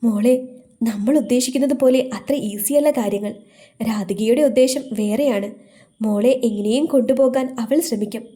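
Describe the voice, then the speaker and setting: A woman voicing a steady middle-aged male family friend who speaks in a firm, reassuring way. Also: a little high, normal speed, lightly acted. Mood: calm.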